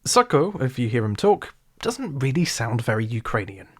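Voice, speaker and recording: Male, RP English, wry sarcastic video essayist, medium pitch. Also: normal speed, husky. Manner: wry, sarcastic, animated delivery. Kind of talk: scripted video essay narration.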